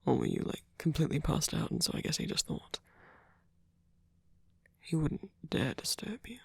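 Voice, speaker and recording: A performer voicing a sweet, doting male vampire boyfriend in a breathy, hesitant ASMR whisper. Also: medium pitch, wildly swooping pitch, no room sound, full character voice. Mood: fond, hesitant. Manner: hesitant, halting, rambling, with soft filler murmurs. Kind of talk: ASMR roleplay, soft whispered comforting.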